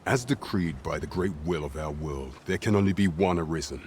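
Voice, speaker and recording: A man playing a commanding guard captain in a fantasy video game, with formal, measured, archaic speech. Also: very deep voice, animated, darkish tone, slight background noise. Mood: stern, commanding.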